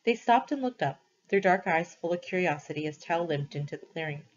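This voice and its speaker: A woman's voice: fairly low pitch, animated, brisk, lo-fi sound. A woman reading a story aloud expressively, as a teacher does for students.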